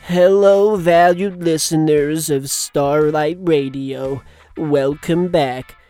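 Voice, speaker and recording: An actor voicing a dull, pedantic male radio DJ in a children's comedy audio drama, deadpan and painstakingly literal. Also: a little high, takes it slowly, faint music behind. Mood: flat, deadpan.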